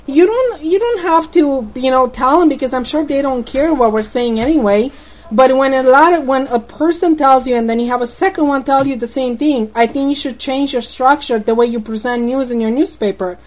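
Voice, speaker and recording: Indignant high-voiced Romanian woman. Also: fairly quick, darkish tone, low-quality recording. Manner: indignant, rambling, repeats words. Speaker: customer on a phone call. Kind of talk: heated phone complaint.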